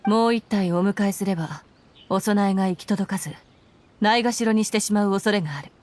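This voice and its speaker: A woman's voice: medium pitch, rapid-fire, a little mellow, close to an everyday voice. A woman playing a stern, dutiful military commander in a video game, formal, clipped and earnest. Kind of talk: Japanese video game voice acting. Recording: faint music behind.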